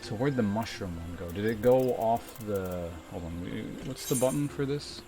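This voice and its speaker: A man's voice: fairly low pitch, lively intonation, normal speed, over music. A man doing casual gameplay commentary as a streamer, muttering offhandedly to himself and his chat. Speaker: game streamer.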